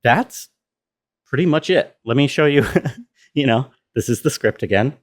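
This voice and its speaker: A man's voice: medium pitch, animated, a little slow. A man giving an informal, rambling walkthrough of his own sound design work, thinking aloud as he goes. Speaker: sound designer presenting behind-the-scenes video.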